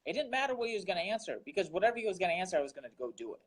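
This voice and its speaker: A man's voice: high-pitched, sing-song, racing, poor audio. A young man from Russia, a guest entrepreneur, talking earnestly about his daily grind, repeating words and using many fillers. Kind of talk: casual interview talk.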